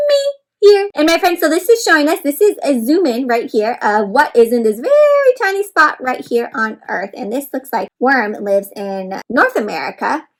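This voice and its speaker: High-voiced woman reading aloud to children. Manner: expressive, instructive, addresses listeners as friends with frequent questions. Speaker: children's read-aloud host and teacher. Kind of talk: interactive picture-book read-aloud for children, asking questions.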